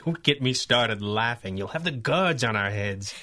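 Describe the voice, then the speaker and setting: An actor playing a cool, confident masked superhero with a calm, dry, assured manner, in a 1930s-style radio drama. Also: male voice, medium pitch, normal speed. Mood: dry, amused.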